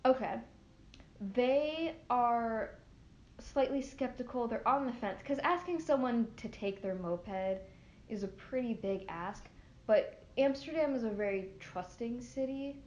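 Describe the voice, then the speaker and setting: A woman's voice: fairly high pitch, unhurried, darkish tone. A young adult woman narrating as game master, hesitant and full of fillers. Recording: roomy lo-fi room mic with faint music.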